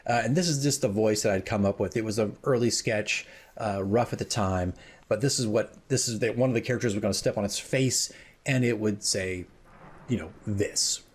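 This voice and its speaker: A man hosting casual, rambling commentary as a dungeon master, informal and self-deprecating, with a husky voice. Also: on the low side, moderate pace. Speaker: host, dungeon master, radio drama creator.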